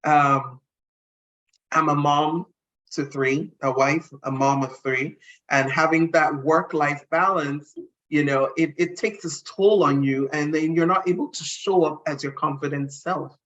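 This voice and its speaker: A woman's voice: sits low, steady tone, measured pace, fairly bright tone, highs cut off. A middle-aged woman, a guest speaker, answering interview questions earnestly and reflectively, often restarting her sentences.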